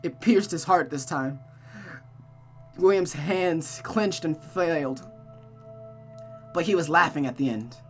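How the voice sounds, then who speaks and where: A woman's voice: sits low, slow, long pauses, faint music behind. A woman reading a visual novel aloud as host, in a dramatic storytelling style.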